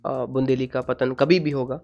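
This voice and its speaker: A man's voice: mid-range voice, flat delivery, normal speed, vocal fry, darkish tone, highs cut off. A man from Bundelkhand answering an interview, hesitant and searching for words. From Bundelkhand, India.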